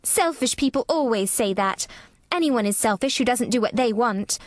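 A woman voicing a stubborn, sour young girl with a sharp, contrary manner in a storybook reading. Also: fairly high pitch, expressive, normal speed, dry recording, studio quality, voice pushed up, lightly acted. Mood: defiant, sour.

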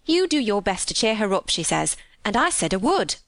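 A woman's voice: medium pitch, very animated, normal speed, a bit bright, dry recording. A woman voicing a cheerful young Yorkshire housemaid who chatters eagerly in folksy dialect for a storybook. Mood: chatty, earnest.

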